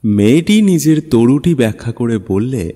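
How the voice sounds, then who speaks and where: A man narrating a literary story in a measured, expressive, dramatic style. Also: mid-range voice. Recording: long studio reverb, music underneath. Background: music.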